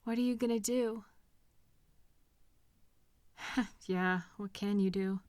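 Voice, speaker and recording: A woman playing a caring, protective partner in an intimate comfort audio roleplay, speaking gently and earnestly in a reassuring, conversational way with hesitant pauses. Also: medium pitch, steady tone, dry recording, studio quality, close to an everyday voice. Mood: resigned, sympathetic. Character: caring, protective partner consoling a hurting loved one.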